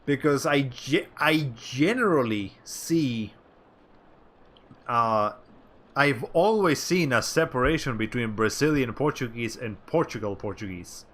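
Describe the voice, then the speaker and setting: Bright-voiced man chatting while playing. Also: medium pitch, lively intonation, unhurried. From Latin America. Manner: rambling, self-correcting, with wry asides. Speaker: streamer. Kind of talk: casual chat while playing a game.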